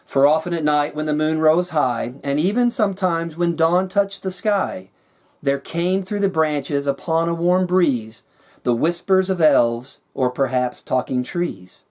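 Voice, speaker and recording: A man narrating his own rhyming fantasy story with a measured, dramatic storyteller's delivery. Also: on the high side, darkish tone. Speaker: author reading their own work.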